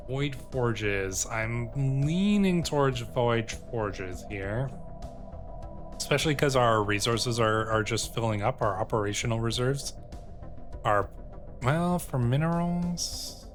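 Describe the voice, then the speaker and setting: A man with a mid-pitched voice hosts a gameplay session in a casual way. He muses aloud as he weighs options and reads on-screen text, with music playing behind him. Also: expressive, slow pace. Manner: musing aloud, tentative. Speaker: video game streamer and host. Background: game music.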